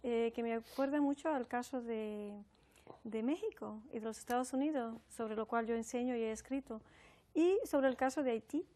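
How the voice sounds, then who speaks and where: A woman's voice: fairly high pitch, moderate pace, warm tone. A woman from the Republic, answering reflectively as an academic interview guest, often adding tag questions. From Dominican Republic, raised also in New York. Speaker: sociology professor, interview guest.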